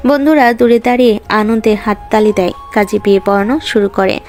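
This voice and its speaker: A woman's voice: medium pitch, brisk, darkish tone, faint music behind. A woman reading scripted narration for a romantic story.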